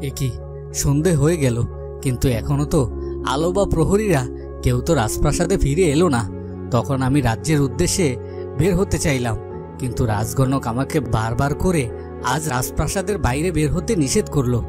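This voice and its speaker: A man's voice: a little high, animated, brisk, close to an everyday voice. A man playing a worried, brooding king in a fairy-tale cartoon, with dramatic, self-questioning delivery. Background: music.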